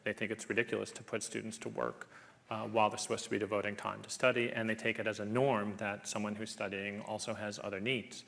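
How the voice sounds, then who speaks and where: A male professor lecturing in a university hall. His voice sits low with a gravelly edge. He speaks in a measured, considered way. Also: flat delivery, fairly bright tone. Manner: measured, thoughtful, with frequent "um" and "uh" when answering. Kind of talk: public lecture and audience Q&A.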